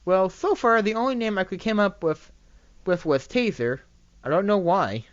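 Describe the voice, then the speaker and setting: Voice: mid-range voice, expressive, normal speed, no echo, lo-fi sound, natural voice. A narrator voicing a mischievous, maniacal pony princess who breaks into devious chuckles in an amateur story reading. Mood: sheepish explaining.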